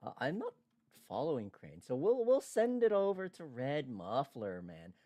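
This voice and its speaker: Animated livestream host chatting casually. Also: mid-range voice, normal speed, dry recording, studio quality. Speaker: streamer, host.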